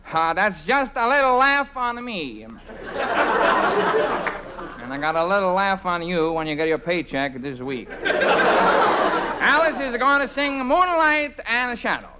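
A folksy, easily flustered bandleader in a vintage comedy parody. His voice sits very high for a man and he delivers halting show patter. The old recording is very noisy, with a loud laughing crowd behind him. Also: expressive. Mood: chirpy, folksy. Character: flustered, folksy male bandleader hosting a champagne-music show. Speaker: bandleader and host in a parody sketch.

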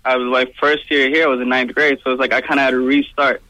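A man's voice: mid-range voice, level tone, quick pace, sharp tone, dry recording, rough recording. A young man, a guest musician, answering interview questions in a loose, casual way, filling his talk with "like" and "you know what I'm saying". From Pakistan-born, raised in Virginia and Toronto area.

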